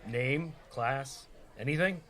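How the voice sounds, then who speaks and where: An actor playing a dry, impatient recruiter of adventurers in a comedy radio play. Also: male voice, mid-range voice, slow pace, a bit bright. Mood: dryly impatient.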